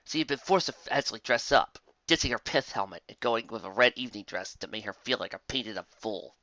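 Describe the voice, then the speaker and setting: Bright, forceful fan fiction narrator. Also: medium pitch, brisk, no echo. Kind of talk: scripted story narration. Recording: slightly dull home recording.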